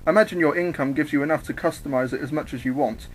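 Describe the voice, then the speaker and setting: A man's voice: fairly high pitch, racing, a little hiss or hum, natural voice, poor audio. A man voicing a plucky, casual young hedgehog hero in a story reading. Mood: unfazed.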